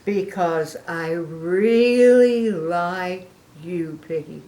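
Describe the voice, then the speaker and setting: A woman puts on a nervous, good-hearted boy elephant from a storybook. Words come out very slowly and haltingly, each one leaned on with reluctant emphasis. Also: lowish voice, dark tone, roomy sound. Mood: earnest. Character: anxious, reluctant, kindly male elephant friend. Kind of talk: animated children's picture-book read-aloud.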